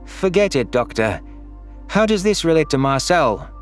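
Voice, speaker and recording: A man's voice: a little high, big pitch swings, moderate pace, voice pushed up. An anxious, irritable male alien played by a man in a sci-fi audio story. He sounds agitated and defensive, with faint music behind him. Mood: worried, defensive.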